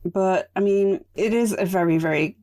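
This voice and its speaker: A woman hosting a casual solo podcast, chatty and rambling, her voice going raspy. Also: medium pitch, moderate pace, warm tone. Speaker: podcast host.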